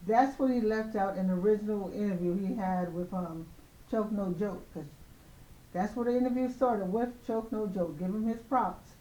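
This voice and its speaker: Female commentary host, soft-edged mellow tone with slight hoarseness, casual opinionated delivery, roomy echoing sound. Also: medium pitch, moderate pace.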